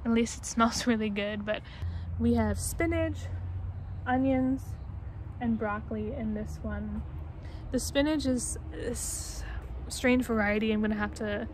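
A woman's voice: a little high, unhurried, a little mellow, slight background noise, rough recording. A woman in her twenties with an American accent, narrating a casual, unscripted tour outdoors into a handheld camera. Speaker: vlogger giving a garden tour. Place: outdoors in a backyard garden. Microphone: handheld phone or camera at arm's length.

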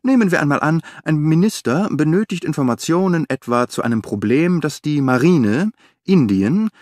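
A man's voice: a little high, animated, moderate pace, darkish tone, no echo, studio quality, pitched above the performer's own voice, lightly acted. A man voicing a restless, impatient middle-aged detective in an audiobook, speaking in a sardonic, declaiming manner. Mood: explaining, animated. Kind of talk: audiobook character voice.

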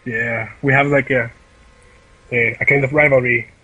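A man from El Salvador with a Salvadoran Spanish accent, chatting casually as co-caster on a live stream and halting as he searches for words. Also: mid-range voice, fairly even intonation, sharp tone, breathy edge, highs cut off. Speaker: esports co-caster. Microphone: online voice call.

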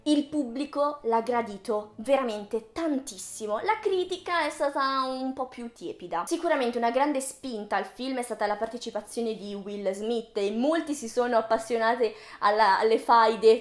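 Woman, early twenties, highish voice, theatrical and ironic, film commentary vlog, noticeable room echo. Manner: expressive, theatrical, ironic asides. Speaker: video essayist, film commentator. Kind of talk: scripted-casual film commentary to camera. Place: small room with bookshelves, indoors. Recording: roomy indoor echo.

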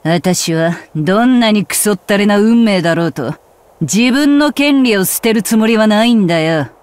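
An actress voicing a brash, bitter rocker witch in a video game, with sardonic, rough-spoken, taunting delivery. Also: medium pitch, expressive, normal speed, dry recording, lightly acted. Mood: defiant. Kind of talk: Japanese video game voice acting. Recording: loud music under the voice. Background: loud music.

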